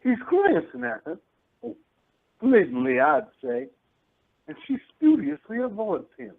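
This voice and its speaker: A man's voice: sits high, wildly swooping pitch, unhurried, full character voice. A man acting a chatty, gossipy park-bench narrator of a radio play, theatrical and conspiratorial. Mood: intrigued. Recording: tinny narrow-band audio, faint music.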